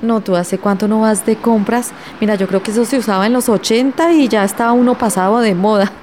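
A woman's voice: mid-range voice, brisk, a little mellow, a little hiss or hum, lightly acted. A woman with a Colombian accent playing a vain, catty, gushing fashion queen in a radio soap.

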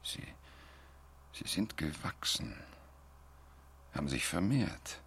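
Really deep gravelly guy a skeptical investigator in a radio play, slow to conclude, bit of noise. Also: very animated, fairly bright tone, heavily acted. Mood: pondering. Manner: hesitant, pondering. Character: skeptical, deliberate investigator questioning an informant. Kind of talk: radio drama dialogue.

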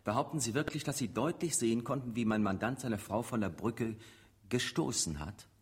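Husky, probing defence lawyer. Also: male voice, medium pitch, normal speed. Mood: sceptical, probing. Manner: pointed, incredulous courtroom questioning. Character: probing, sceptical male defence lawyer, insistent and formal. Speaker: actor in a radio play. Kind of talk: German radio drama acting.

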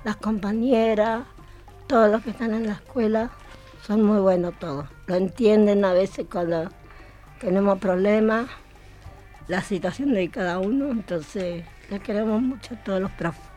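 An Argentine woman, an adult student guest, chatting casually in simple, halting phrases with repetitions. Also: mid-range voice, dark tone, faint music behind. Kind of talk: casual chat. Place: radio studio.

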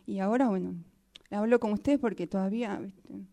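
A woman voicing a weary, overused sock in a halting, repetitive, plaintive radio-theatre monologue. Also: medium pitch, creaky, dark tone, natural voice. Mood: complaining. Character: weary, overused female sock complaining about its owner.

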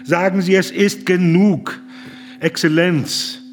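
A man's voice: high voice, fairly even intonation, slow, a little hiss or hum. An actor in a radio drama playing an impassioned German humanitarian who pleads earnestly and formally with a man in power. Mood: urgent, indignant.

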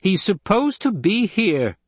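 A male reader plays an imperious noblewoman in an audiobook. The pitch is lifted well up and the delivery is louder, curt and icy, with clipped authority. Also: expressive, fairly quick, breathy edge, no echo. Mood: stern, commanding. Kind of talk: audiobook character acting.

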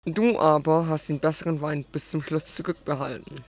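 A man playing a wedding feast master in a scripture audio drama, with measured, declarative delivery. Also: a little high, steady tone. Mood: surprised. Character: male wedding feast master addressing the bridegroom.

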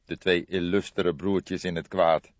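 A man voicing a thoughtful, grey-haired old police detective with a measured, probing manner in an audiobook. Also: low-pitched, level tone, dry recording, muffled recording. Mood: wry, weary.

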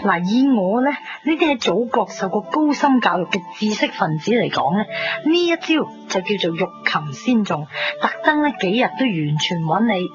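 A woman's voice: mid-range voice, normal speed, hardly any pauses, natural voice. Actress as blunt, chatty young Hong Kong woman, lo-fi. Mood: teasing, lecturing. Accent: Hong Kong Cantonese. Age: 20s. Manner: animated, blunt, teasing, sprinkles English words. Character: pragmatic, cynical, chatty young mainland-born shop girl. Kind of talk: 1990s radio drama acting in Cantonese. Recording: dull lo-fi radio recording, music behind.

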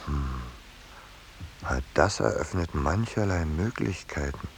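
A peaceable, gallant landscape painter with a deep and highly animated voice. He speaks with dry, courteous irony and small hesitations, as in a 1950s radio play. A faint hiss lies over the old recording. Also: slow, exaggerated voice. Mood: courteous, curious. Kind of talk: 1950s German radio play, narration and dialogue.

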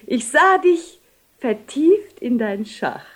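Young lovesick prostitute in a radio play, highish husky breathy voice, soulful and dramatic. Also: female voice, very animated, slow pace, heavily acted. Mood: tender, fervent. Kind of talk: theatrical German radio drama acting.

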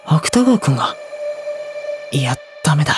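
Earnest teenage schoolboy narrating over music. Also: male voice, fairly high pitch, very animated, a little slow. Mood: conflicted. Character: anxious teenage schoolboy narrator, introspective and hesitant. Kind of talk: Japanese audio drama acting and inner-monologue narration.

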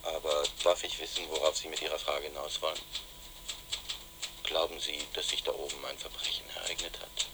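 Very deep officious police spokesman. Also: level tone, fairly quick, very noisy. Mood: evasive, guarded. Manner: formal, smoothly evasive, officious. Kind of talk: German radio play acting.

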